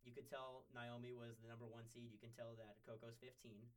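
A young man from California, a guest sports reporter, talking in a relaxed way with pauses and restarts. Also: medium pitch. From California, United States. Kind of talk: podcast interview chat.

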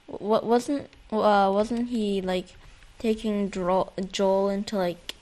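Female podcast co-host, mid-pitched, informal gaming chat, halting phrasing, repeated words, casual swearing. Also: steady tone, darkish tone.

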